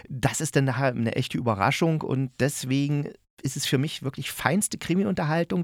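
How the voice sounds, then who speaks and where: A man's voice: mid-range voice, dry recording, clean studio sound. A man hosting a casual spoken review, chatting informally and thinking aloud as he goes. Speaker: podcast host reviewing audio dramas.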